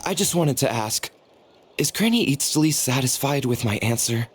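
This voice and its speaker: Man doing a nervous tribesman, deferential, middle pitch, faint ambient noise. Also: animated, moderate pace, close to an everyday voice. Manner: polite, deferential. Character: timid ordinary tribesman, polite and nervous.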